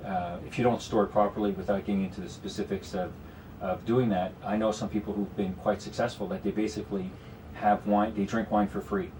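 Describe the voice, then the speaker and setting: Canadian man, low voice, distant mic. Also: flat delivery, a little fast, warm tone, slight background noise. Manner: explanatory, talks in long runs with frequent "you know". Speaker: guest investment strategist. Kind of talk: radio studio conversation. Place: radio studio.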